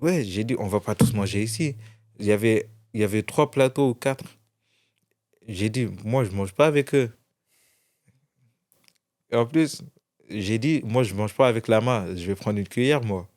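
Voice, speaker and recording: A man's voice: fairly low pitch, normal speed, pauses often, a little mellow. A young man from France, a guest in an interview, telling his story casually with many restarts. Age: young adult.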